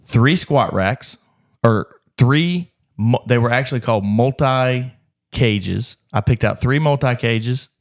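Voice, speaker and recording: A man in a podcast chat, telling the tale of his early business in a brisk, rambling way. His voice sits at an average pitch, and he throws in a curse now and then as he goes. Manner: fast, rambling, swears freely. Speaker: gym owner, podcast host. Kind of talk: casual podcast storytelling.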